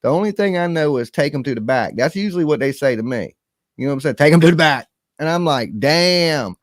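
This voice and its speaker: A man's voice: a little high, animated, brisk, dry recording. Joking slangy man chatting, dry close. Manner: loose, joking, slangy talk with repeated fillers. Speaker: co-host. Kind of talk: casual chat.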